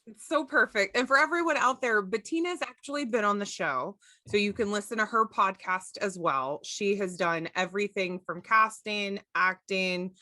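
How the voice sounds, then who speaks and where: A woman's voice: medium pitch, normal speed, sharp tone, no room sound. A woman hosting a podcast interview in an enthusiastic, effusive way. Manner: gushing, effusive. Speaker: podcast host.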